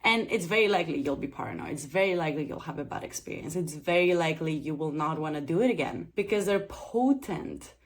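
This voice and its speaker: Earnest woman, lowish voice. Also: expressive, fast talker, hardly any pauses. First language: Greek. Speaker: psychedelic preparation coach and channel host. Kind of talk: talking to camera, explanatory vlog.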